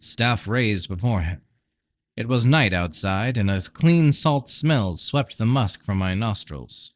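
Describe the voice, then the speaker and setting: A man's voice: mid-range voice, no room sound. A tired-sounding man giving a scripted narration of a fantasy novel, as an amateur audiobook reader.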